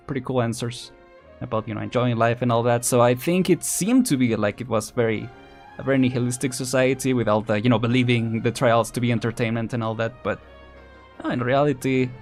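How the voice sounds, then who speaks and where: A man chatting casually as a livestream host, rambling and hesitant, often repeating words and restarting as he thinks aloud. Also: medium pitch. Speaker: streamer, vtuber host.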